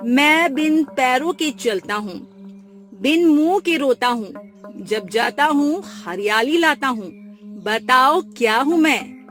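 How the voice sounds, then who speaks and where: A woman plays an eerie, menacing female spirit who guards a forest in a cartoon tale. She speaks very slowly with theatrical command, over light music. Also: high voice, animated, a bit bright, full character voice. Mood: challenging, enigmatic. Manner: ominous, commanding. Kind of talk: theatrical Hindi cartoon story dialogue.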